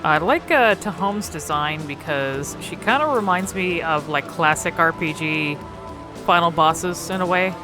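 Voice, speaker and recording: A woman's voice: fairly low pitch, measured pace, over music. A woman giving casual, unscripted game commentary in a hesitant, meandering way. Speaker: video creator.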